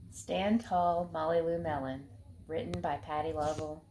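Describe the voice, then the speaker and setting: A woman reads a children's picture book aloud in a low voice. There is a little faint noise in the recording behind her storytelling. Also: steady tone, unhurried, dark tone, low-quality recording.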